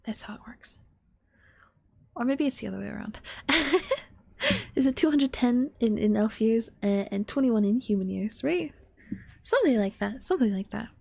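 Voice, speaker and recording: A woman of twenty-one chatting to her stream in a soft ASMR whisper, rambling and giggly. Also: on the high side, very animated, normal speed, gravelly, pauses often, husky, no room sound.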